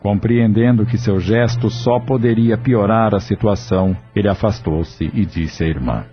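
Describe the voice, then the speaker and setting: Solemn male storyteller with a low voice; muffled recording with soft music. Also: normal speed. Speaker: narrator. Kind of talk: scripted audio drama narration. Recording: dull lo-fi audio with faint music.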